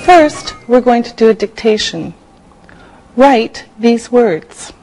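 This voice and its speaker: An older woman from Canada teaching English on a studio set with a lapel mic, dictating words slowly and clearly. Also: fairly high pitch, lively intonation, darkish tone, faint music behind, low-quality recording. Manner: slow, clearly enunciated dictation. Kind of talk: slow scripted classroom teaching.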